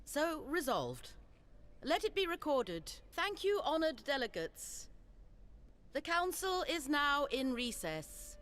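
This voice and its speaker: An actress playing a formal, officious council official in a fantasy video game, with ceremonious delivery. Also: female voice, high voice, very animated, slow pace, fairly bright tone, slight background noise, exaggerated voice. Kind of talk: video game cutscene acting.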